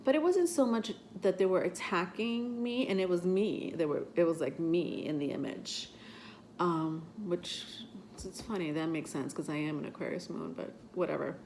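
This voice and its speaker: A woman's voice: fairly low pitch, normal speed, darkish tone, a little hiss or hum. A woman with a stuffy voice from a cold, hosting a tarot vlog in a rambling, chatty way full of fillers. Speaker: tarot reader and channel host. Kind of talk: casual vlog talk to camera.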